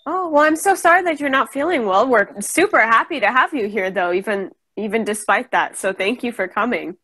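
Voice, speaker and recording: A young woman instructor on a video call from her home room, encouraging and quick to laugh. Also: a little high, animated, sharp tone, husky. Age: young adult. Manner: upbeat, encouraging, laughs often. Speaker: vocal instructor. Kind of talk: casual video-call chat. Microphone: headphones, laptop camera.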